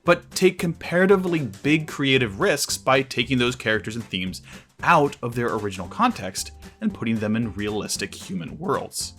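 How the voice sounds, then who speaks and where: A man delivering scripted commentary as a video reviewer, in a measured, articulate way with emphatic phrasing. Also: medium pitch, fairly bright tone.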